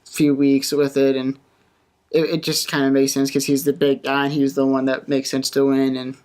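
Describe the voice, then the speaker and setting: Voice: mid-range voice, monotone, normal speed, a little mellow. A podcast panelist talking in a casual, conversational style. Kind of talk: casual chat.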